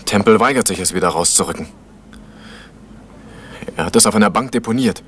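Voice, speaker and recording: Casting: male actor for young, defensive schemer in a vintage radio drama, low-voiced, quick, terse. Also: fairly bright tone, slight background noise, natural voice. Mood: uneasy. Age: young adult. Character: young man, tense, impulsive, evasive small-time schemer. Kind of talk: 1970s German radio drama acting.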